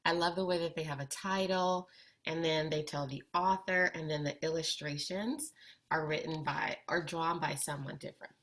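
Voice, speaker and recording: A woman reading a children's picture book aloud with deliberate, expressive phrasing. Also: deep voice. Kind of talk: read-aloud storytelling for children.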